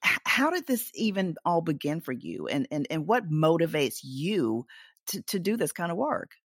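Low-voiced, lively woman interview host. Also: moderate pace, husky, dry recording, clean studio sound. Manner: asks open questions, brief affirmations. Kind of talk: conversational interview.

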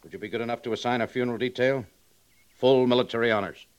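An actor in a 1950s western radio drama playing a courteous, authoritative cavalry major with a formal, measured manner. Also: male voice, mid-range voice, steady tone, smooth, highs cut off, lightly acted. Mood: formal, grave.

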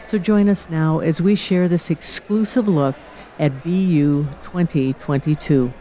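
A woman's voice: low voice, steady tone, a little slow, dark tone. A woman giving upbeat, scripted narration for an event video. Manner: upbeat promotional delivery.

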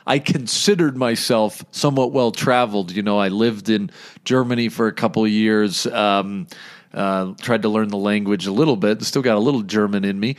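A man's voice: on the low side, moderate pace. Rambling male podcast host. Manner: rambling, filler-heavy questions. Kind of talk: casual interview chat.

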